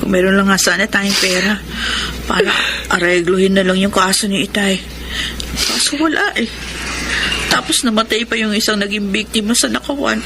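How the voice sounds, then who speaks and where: A woman's voice: medium pitch, fairly even intonation, moderate pace, close to an everyday voice. A woman playing a sympathetic, sensible eldest daughter in a Tagalog radio drama, sounding young and earnest. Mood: concerned. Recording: clear background noise.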